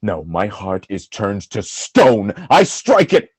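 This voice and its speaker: A man playing a tormented, jealous general and husband in a Shakespearean radio drama, husky and dramatic, swinging between brooding and outcry. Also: fairly low pitch, sing-song, takes it slowly, no room sound, full character voice. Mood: brooding anguish.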